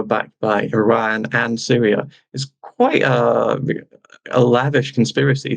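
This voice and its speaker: A man as a guest journalist, answering in a measured, sardonic way. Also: medium pitch, vocal fry, no echo.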